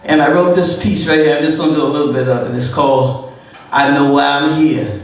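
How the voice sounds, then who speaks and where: Loud, emotional woman poet in an echoey room. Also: deep voice, steady tone, fairly quick. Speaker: spoken-word poet. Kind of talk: spoken-word poetry performance with talk between pieces. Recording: echoey room, distant mic.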